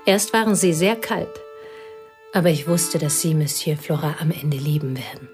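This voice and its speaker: An actress playing a plain, devoted woman who confesses intimately to a pianist in a radio play, her voice husky and often whispered. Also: low-pitched, lively intonation, moderate pace, a little mellow, soft background music. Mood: intense. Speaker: confiding companion.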